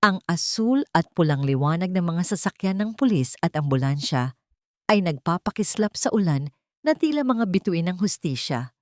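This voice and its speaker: Low-voiced woman narrating a melodrama. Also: big pitch swings, moderate pace, dry recording, clean studio sound. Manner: dramatic, emotive storytelling. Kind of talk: scripted audiobook narration of a melodrama.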